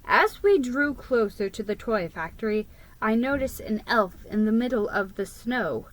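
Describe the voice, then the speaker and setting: A woman giving a dramatic, expressive reading of a children's story, stumbling over the odd word. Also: a little high, unhurried, low-quality recording. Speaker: storybook reader.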